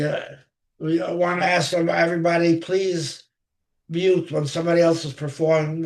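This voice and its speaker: A man organizing an online music circle, talking slowly and haltingly with many fillers as he reads out the order of performers over a video call. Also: a little high, darkish tone. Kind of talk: casual online meeting chat.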